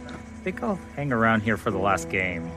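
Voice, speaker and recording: An actor playing a reluctant young small-town man in a naturalistic audio drama, speaking haltingly. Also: fairly low pitch, very animated. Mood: reluctant, hesitant. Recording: music clearly playing behind.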